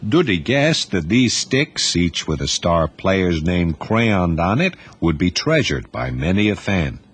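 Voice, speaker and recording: A man narrates a novel in a deep, husky voice. His pitch rises and falls freely as he tells the story, giving it a lively, animated flow. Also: unhurried. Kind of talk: scripted audiobook narration.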